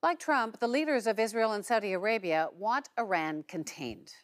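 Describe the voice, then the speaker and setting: A woman's voice: mid-range voice, normal speed. A woman working as a news presenter, delivering scripted reports and putting questions to guests in a broadcast style.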